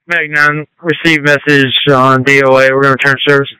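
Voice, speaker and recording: A man's voice: medium pitch, level tone, brisk, sharp tone, clean tone, no room sound, poor audio. A man on a medic crew, giving terse reports over two-way radio. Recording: fire department two-way radio traffic.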